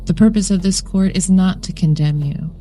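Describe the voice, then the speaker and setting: A woman reading a scripted guided meditation in a solemn, measured way, with deliberate phrasing. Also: on the low side, dark tone, rough recording. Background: background music.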